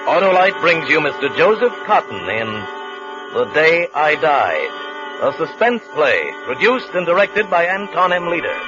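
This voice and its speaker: A man's voice: a little high, very animated, unhurried. A man announcing a radio drama in a dramatic 1950s introduction style. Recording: muffled old radio broadcast, loud music. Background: loud music.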